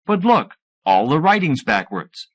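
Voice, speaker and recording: An impatient, sardonic scientist hero from an audiobook novel, read by a man, speaking with animated, often raised pitch. His manner is direct and exasperated. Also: measured pace. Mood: brisk.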